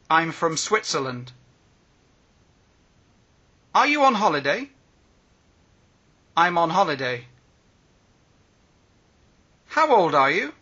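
Slow bright-voiced man reading phrases. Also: on the high side, breathy edge, dull audio. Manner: reads each phrase slowly with pauses. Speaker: language teacher.

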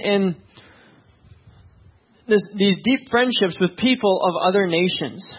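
A male pastor who grew up in Tanzania, opening with a soft, hushed prayer and then preaching in a conversational way, often checking in with "right?". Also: high voice, breathy edge, lo-fi sound.

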